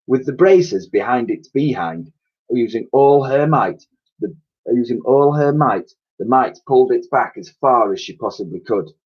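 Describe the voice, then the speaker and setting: Husky man reading a children's story. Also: mid-range voice, dull audio. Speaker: primary school teacher reading aloud. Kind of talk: expressive children's storybook narration.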